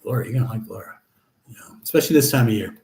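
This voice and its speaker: A middle-aged man hosting a casual live chat, reading viewer comments aloud and answering them in a relaxed, chatty way. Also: mid-range voice, racing, vocal fry. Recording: echoey room.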